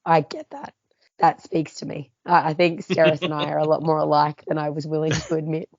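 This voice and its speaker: A low-voiced woman talks through a book with her co-hosts in a loose, chatty way and laughs often. The sound is dry and slightly dull. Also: level tone, brisk, a little mellow, no echo. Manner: chatty, laughs often, trails off mid-thought. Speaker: podcast co-host. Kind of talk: casual book club chat.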